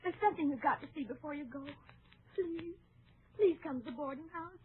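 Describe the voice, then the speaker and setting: Woman acting high-voiced desperate young woman, old radio. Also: fairly quick, natural voice. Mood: desperate pleading. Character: desperate young woman pleading for her accused brother. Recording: muffled, noisy vintage radio recording.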